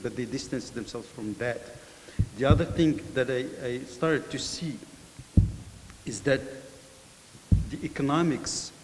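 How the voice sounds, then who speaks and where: A man's voice: medium pitch, darkish tone. Middle-aged man in a reverberant hall. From Morocco. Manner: thoughtful, measured, with filler pauses. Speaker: panelist. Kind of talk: panel discussion answers. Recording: hall reverb, faint hiss.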